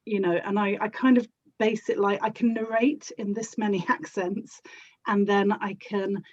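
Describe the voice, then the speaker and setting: A woman guest talks in a relaxed, conversational interview style. She has a South London accent and a middling pitch. The recording is a little muffled and lo-fi. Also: moderate pace. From South London, England. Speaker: interview guest, audio drama actor and producer.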